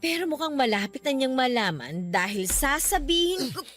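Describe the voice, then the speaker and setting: Radio drama temptress: high-voiced, very expressive, taunting and flirty younger sister out to seduce. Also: female voice, moderate pace, music in the background. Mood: mocking, threatening. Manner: teasing, taunting, giggly. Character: scheming, flirtatious younger sister seducing her brother-in-law. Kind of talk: Tagalog radio drama acting.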